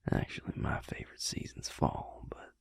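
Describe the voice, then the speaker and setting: A man playing an affectionate, laid-back vampire mate in an intimate roleplay audio, speaking in a breathy, gravelly murmur with tender, hesitant pauses. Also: dry recording, whispered, lightly acted. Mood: gentle, careful.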